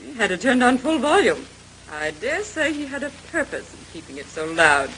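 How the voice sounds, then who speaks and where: A brittle, swooping society wife. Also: female voice, on the high side, sing-song, moderate pace, a bit bright. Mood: accusing, huffy. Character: brittle, accusing, easily offended society wife. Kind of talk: old-time radio mystery acting. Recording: noisy old radio drama recording.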